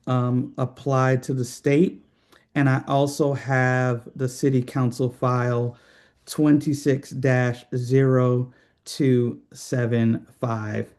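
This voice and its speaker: A man speaking as a committee chair, making formal meeting remarks in a halting, hesitant way. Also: mid-range voice, mellow.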